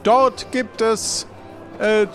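A man puts on a fussy tavern keeper for an improvised adventure play. His voice sits high, polite yet quick to take offence, with music underneath. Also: fairly even intonation, fairly bright tone, full character voice. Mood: obliging.

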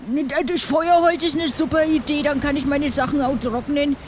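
Man acting a high-voiced, whiny pirate. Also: steady tone, normal speed. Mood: complaining. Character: grumbling, soft pirate Kalle, fussy about catching colds. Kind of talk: improvised audio adventure play. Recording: noisy background ambience.

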